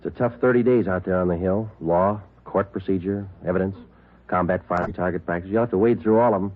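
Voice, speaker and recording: An American actor playing a calm, dogged police detective who narrates his case in a terse, matter-of-fact way, in old radio drama style. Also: deep voice, fast, close to an everyday voice. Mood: businesslike. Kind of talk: 1950s radio drama acting, clipped narration. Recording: tinny old radio broadcast, music behind.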